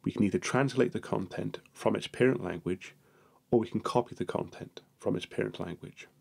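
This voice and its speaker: Male tutorial narrator, lowish gravelly voice, a bit husky, steady step-by-step delivery. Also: brisk.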